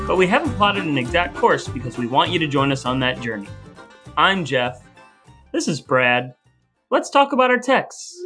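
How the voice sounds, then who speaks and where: A man's voice: on the high side, fairly bright tone. A man hosting a podcast, moving between scripted introductions and casual banter. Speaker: podcast host.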